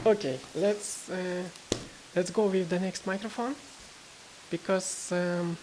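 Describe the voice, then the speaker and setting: A woman testing microphones in a room, talking casually and hesitantly with many fillers, and reading haltingly from a book. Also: lowish voice, mellow, slight background noise, low-quality recording. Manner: reads aloud haltingly from a book. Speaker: gear reviewer testing microphones. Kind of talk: casual mic test vlog. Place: indoor room. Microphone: various test microphones, condenser among them.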